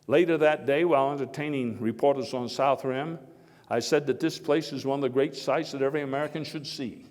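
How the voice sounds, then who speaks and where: An actor playing an earnest, folksy statesman orator of early 1900s America in a theatrical first-person speech, emphatic but given to halting digressions. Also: mid-range voice, darkish tone, close to an everyday voice. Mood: rousing, proud. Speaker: living-history performer. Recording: echoey hall with long reverb.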